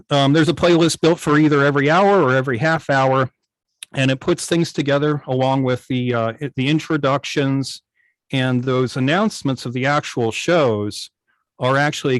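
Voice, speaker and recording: A man's voice: medium pitch, fairly even intonation, moderate pace, no echo, rough recording. A man explaining technical things casually on a video call, hesitant and often repeating his words. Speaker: guest, radio station operator and programmer. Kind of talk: casual technical explanation in an online club meeting. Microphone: video call microphone.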